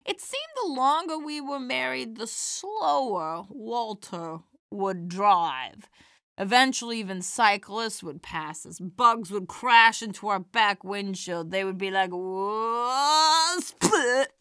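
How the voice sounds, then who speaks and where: A folksy old granny comic, acted by a woman, with a bright, forward voice in a dry, close recording. She chats and tells her tales with animation, kindly and playful, in audio drama style. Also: fairly high pitch, lively intonation, slow pace, clear, resonant tone, no room sound, full character voice. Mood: playful, performing. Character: elderly widowed granny stand-up comedienne, folksy and kindly. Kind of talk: audio drama dialogue and stand-up routine.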